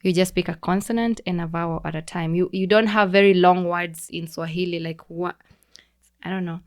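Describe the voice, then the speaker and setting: A young woman with a Kenyan accent, a podcast guest explaining things in a relaxed, teacherly way with frequent restarts. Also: fairly low pitch, brisk, smooth. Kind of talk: casual conversational explaining.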